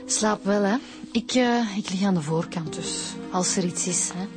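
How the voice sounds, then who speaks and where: Gentle Flemish female detective, played by an actress, mid-range voice, informal, radio drama with clear music behind. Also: medium pitch, unhurried, close to an everyday voice. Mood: reassuring. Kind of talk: naturalistic radio drama acting.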